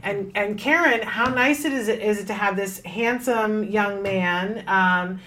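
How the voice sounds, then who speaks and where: A woman hosting an interview, speaking in an emphatic, expressive way. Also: mid-range voice, unhurried. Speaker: host.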